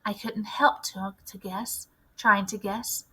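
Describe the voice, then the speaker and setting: A woman's voice: mid-range voice, steady tone, takes it slowly, sharp tone, slightly rough, poor audio. A woman narrating a children's novel expressively, as a teacher reading aloud.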